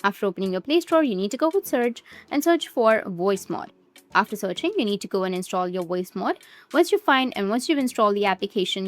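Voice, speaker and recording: A woman giving step-by-step instructional narration for a software tutorial, with repetitive phrasing. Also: medium pitch. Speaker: tutorial presenter.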